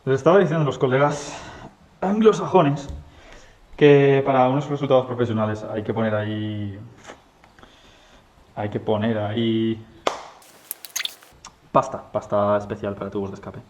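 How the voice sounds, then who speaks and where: A man from Spain talking casually while he works on a motorcycle, halting and searching for English words with many fillers. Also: mid-range voice, fairly even intonation, darkish tone, dull audio. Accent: Spanish. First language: Spanish. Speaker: motorcycle garage vlogger. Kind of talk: casual workshop talk, switching between English and Spanish. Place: home garage workshop.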